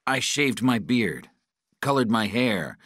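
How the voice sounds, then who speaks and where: A terrified male captive, acted by a male narrator, pleading in a raised, strained pitch that swings widely. It is audiobook dialogue, recorded close and dry. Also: big pitch swings, slow, fairly bright tone, no echo, exaggerated voice. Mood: anxious, cooperative. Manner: pleading, frightened.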